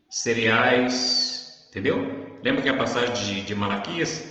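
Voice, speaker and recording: A man's voice: mid-range voice, a bit bright. São Paulo man in a very echoey space. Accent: São Paulo Brazilian. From São Paulo, Brazil. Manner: conversational, didactic, frequent check-in tags. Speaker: online Bible teacher. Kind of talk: informal Bible teaching to camera. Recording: very echoey reverberant room, dull sound.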